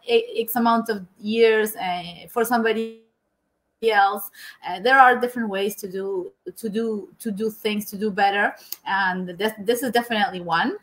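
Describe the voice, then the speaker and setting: Halting woman. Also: medium pitch, rough recording. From Argentina. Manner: hesitant, many repeated words and "you know". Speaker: guest, engineer. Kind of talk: video-call interview. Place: home room indoors. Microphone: video call.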